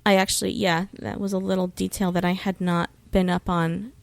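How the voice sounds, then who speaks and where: A woman's voice: on the low side, level tone, fairly quick, gravelly. A woman talking as a podcast guest, explaining things informally and hesitantly, with some swearing. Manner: informal, sweary, hesitant while recalling details. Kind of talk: casual conversational explanation of legal issues.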